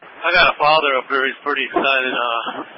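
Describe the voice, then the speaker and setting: A man's voice: mid-range voice, fairly even intonation, a little fast. A man speaking as a police officer in the field, calling in over a two-way radio. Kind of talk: live police radio traffic. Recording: muffled, noisy police radio transmission.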